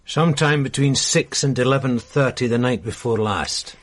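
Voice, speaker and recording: An actor in a radio drama playing a respectful, plain-spoken police sergeant. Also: male voice, medium pitch, moderate pace, no echo, natural voice. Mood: deferential. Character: deferential, matter-of-fact male police sergeant.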